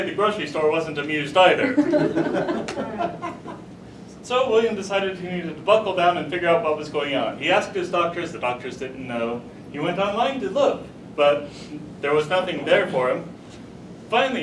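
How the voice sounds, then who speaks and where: A man giving a humorous tall-tale speech to a live audience, deliberately, pausing for punchlines. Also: animated. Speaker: storyteller at a speech contest. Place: room with a live audience, recorded from a distance. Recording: roomy, distant mic, rumbling noise.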